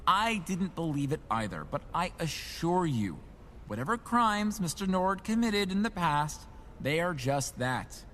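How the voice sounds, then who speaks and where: An actor playing an earnest, politely officious company assistant in a radio drama, a little fussy. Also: male voice, on the high side, big pitch swings, unhurried, fairly bright tone, slight background noise. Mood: earnest, reassuring.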